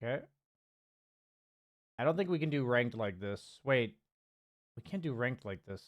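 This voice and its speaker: A relaxed man coaching a player live on his stream. He talks loosely, with plenty of fillers and the odd swear word, at a middle pitch for a man. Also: a little slow. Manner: loose and chatty, many fillers, casual swearing. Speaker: game coach. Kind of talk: casual one-on-one coaching. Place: streaming from home.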